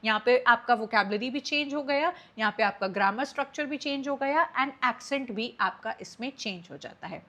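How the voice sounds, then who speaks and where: A woman's voice: fairly high pitch, moderate pace, few pauses, pushed and bright. A woman from India with an Indian accent, teaching an exam-prep lecture and explaining terms while mixing Hindi and English.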